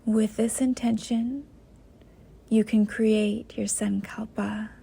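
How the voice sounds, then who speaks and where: A woman guiding a yoga nidra meditation in a soft, breathy, half-whispered voice, gentle and unhurried with long pauses. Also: a little high. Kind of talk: guided meditation, slow soothing instruction.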